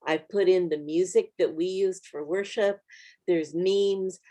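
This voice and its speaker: A woman's voice: on the low side, fairly even intonation, measured pace, mellow. A middle-aged woman co-hosting a Bible study lesson, talking in an earnest, encouraging way and offering practical tips to group leaders. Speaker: Bible study author and co-host. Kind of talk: casual teaching conversation.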